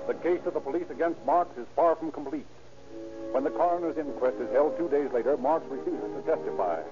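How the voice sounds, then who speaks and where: Fast radio crime narrator voiced by a man. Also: a little high, natural voice. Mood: solemn, urgent. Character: brisk, solemn male crime-drama narrator. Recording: dull old radio recording. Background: music.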